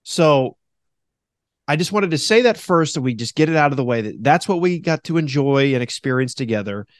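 A man with a deep voice hosting a casual podcast, animated and quick to mock radio announcers in a high voice. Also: dry recording, clean studio sound. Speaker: podcast host; former radio host.

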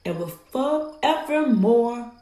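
Earnest young male lover, played by a woman, roomy sound, unhurried Shakespearean radio verse. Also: fairly high pitch, expressive, warm tone, faint music behind. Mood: earnest, yearning. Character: earnest, lovestruck young Athenian noble suitor, male.

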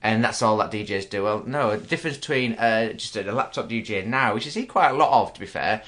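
A man's voice: lowish voice, lively intonation, fast, fairly bright tone. A middle-aged man with a Yorkshire accent, a DJ co-hosting a podcast in a casual, teasing way, laughing easily. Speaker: podcast co-host and DJ.

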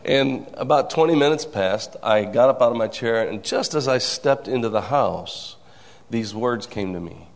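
A man around sixty giving an earnest church testimony, with a gravelly voice and frequent amens. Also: medium pitch, expressive, moderate pace. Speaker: congregant sharing a testimony. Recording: reverberant hall.

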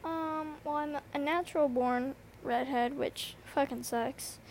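Teen girl, slight lisp, high voice, rambling and sleepy; earbud mic in a noisy bedroom with a faint hiss. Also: steady tone, takes it slowly. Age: teens. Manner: rambling, swears often, lots of fillers. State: tired from lack of sleep. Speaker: podcast host. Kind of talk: casual solo podcast chat. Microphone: earbud microphone.

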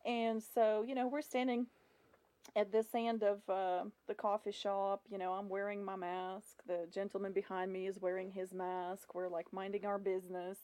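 A woman's voice: mid-range voice, flat delivery, moderate pace, mellow, clean tone, no room sound. A woman from Russia, a podcast guest with a Russian accent and a Southern US twang, telling stories in casual conversation.

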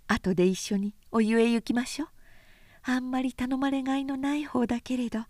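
A woman reader voicing a knowing, world-weary woman of a pleasure house in an audiobook, soft-spoken and feminine. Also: sits high, fairly even intonation, brisk, no room sound, clean studio sound, pitched above the performer's own voice, natural voice. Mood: kindly.

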